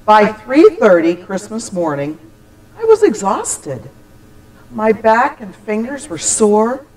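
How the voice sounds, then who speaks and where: A woman telling a scripted personal holiday story, with deliberate, expressive, dramatic phrasing. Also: mid-range voice, rough recording. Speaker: church storyteller.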